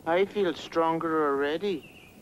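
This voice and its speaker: A man's voice: a little high, slow pace. A man voicing a naive, eager young pop musician in a cartoon. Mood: dry. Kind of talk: 1960s animated cartoon acting. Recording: dull, noisy old cartoon soundtrack.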